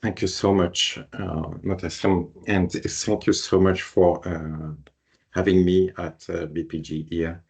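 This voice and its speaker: A man's voice: low-pitched, flat delivery, measured pace, a little mellow, husky. A man with a French accent giving a webinar presentation as a guest speaker.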